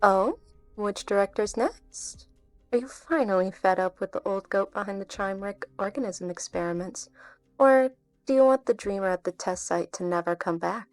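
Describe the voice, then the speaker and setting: A woman's voice: mid-range voice, moderate pace, no echo, faint music behind, lightly acted. A woman playing a sly covert agent in a game fan dub, teasing and insinuating with cool menace. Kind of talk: scripted anime game dub acting.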